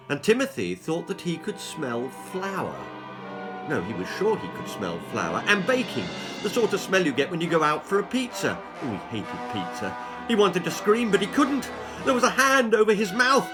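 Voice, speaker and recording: A man reading a children's horror-comedy story as its author, in a theatrical, suspenseful narrating style. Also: high voice.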